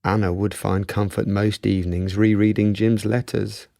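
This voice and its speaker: A man's voice: fairly low pitch, level tone, moderate pace, vocal fry, dark tone, no echo, studio quality. A man narrating a radio drama as a grave, tender storyteller of a wartime tale.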